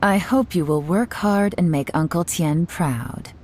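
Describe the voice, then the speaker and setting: A woman voicing a composed, authoritative woman leader in a game, speaking in a measured way. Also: lowish voice, a little mellow, slight background noise, lightly acted. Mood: calm. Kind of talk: game dialogue. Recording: game audio with music behind.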